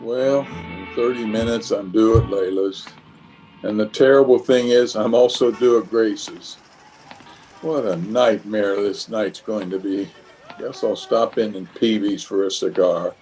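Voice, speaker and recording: A man's voice: on the low side, fairly even intonation, measured pace, darkish tone, soft background music, natural voice, rough recording. A man playing a flustered, blustering middle-aged bachelor in an old-time radio comedy, hemming and sheepish. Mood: fretful, resigned.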